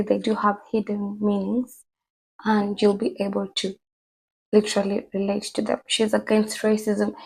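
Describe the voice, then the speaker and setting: Hoarse gravelly lady chatting to her viewers, rambly, lots of ums. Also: mid-range voice, a little mellow, low-quality recording. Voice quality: husky, gravelly. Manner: chatty, rambling, with many fillers and asides. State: hoarse from a cold. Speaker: vlogger. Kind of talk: casual commentary to camera.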